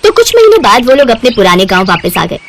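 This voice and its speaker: A woman's voice: a little high, wildly swooping pitch, fast talker, no echo. A woman storyteller narrating a scripted moral story.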